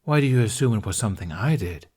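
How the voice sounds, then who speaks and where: A man's voice: fairly low pitch, lively intonation, fast, warm tone, slightly rough. Man reading as a cheeky young bard boy.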